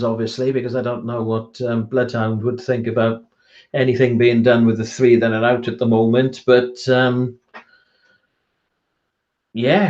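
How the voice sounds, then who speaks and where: A man's voice: medium pitch, a little mellow. Hesitant Welsh man on a muffled call. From Wales. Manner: hesitant, many ums and restarts, self-deprecating. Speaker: author guest. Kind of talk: casual online chat interview.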